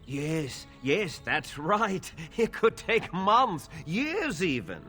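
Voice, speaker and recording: An actor playing a weary video game shopkeeper who talks in a dry, drawling, hesitant way, keen to be rid of customers. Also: male voice, high voice, huge pitch swings, extremely slow, sharp tone, faint music behind, exaggerated voice. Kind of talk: video game character dialogue.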